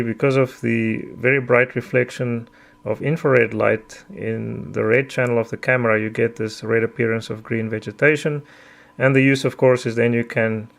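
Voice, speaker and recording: A middle-aged man whose first language is Afrikaans, narrating a repair tutorial in a measured, careful way with frequent ums. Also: mid-range voice, creaky. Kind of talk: explanatory voice-over.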